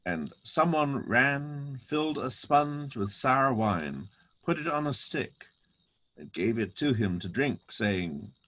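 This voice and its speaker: A man narrating a dramatic scripture reading with solemn, measured, deliberate phrasing. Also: medium pitch, lively intonation, a bit bright.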